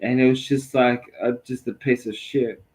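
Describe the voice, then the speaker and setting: A man's voice: mid-range voice, fairly even intonation, moderate pace, a little mellow. A man co-hosting a casual podcast, rambling and hesitant, swearing casually as he talks. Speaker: podcast co-host.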